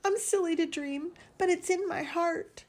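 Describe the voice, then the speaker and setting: A woman's voice: sits high, unhurried, darkish tone, voice pushed up. A woman voicing a timid, lonely young male slice of bread who talks meekly and tearfully. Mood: hurt. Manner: meek, tearful, self-pitying.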